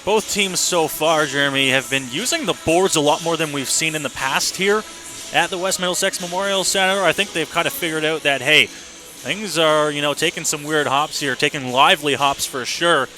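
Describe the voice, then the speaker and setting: A man announces hockey live for a radio broadcast. His voice is slightly high and very bright, with a forceful, cutting edge. Faint music sits behind him. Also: moderate pace. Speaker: play-by-play announcer.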